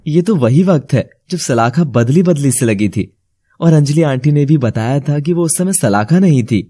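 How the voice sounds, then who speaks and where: A man playing a lovestruck, puzzled young bridegroom, earnest and dramatic, in an animated fairy tale. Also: on the high side, moderate pace, slightly rough. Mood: suspicious.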